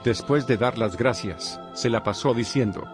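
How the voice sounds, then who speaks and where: A man narrating a dramatized gospel reading at a slow, steady pace. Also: mid-range voice, music in the background.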